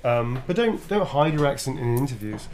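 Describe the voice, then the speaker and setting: A British man talks casually through fan questions, rambling a little and correcting himself as he goes. His voice sits at an ordinary middle pitch. A faint hum runs underneath. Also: very animated, moderate pace, a little mellow. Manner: chatty, loose and informal, full of ums and self-corrections. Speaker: voice actor answering fan questions. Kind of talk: casual live Q&A.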